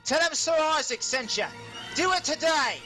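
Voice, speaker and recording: A woman's voice: sits high, expressive, moderate pace, pushed and bright. A woman reading a scripted promo as an announcer, in a dramatic and menacing manner. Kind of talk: scripted promo read over music. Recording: voice over music bed.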